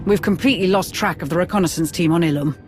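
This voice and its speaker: A man's voice: high voice, quick pace, music in the background, natural voice. A man acting an earnest military aide in a video game, speaking in a formal, urgent way. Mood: urgent, concerned. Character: earnest male military aide giving mission briefings.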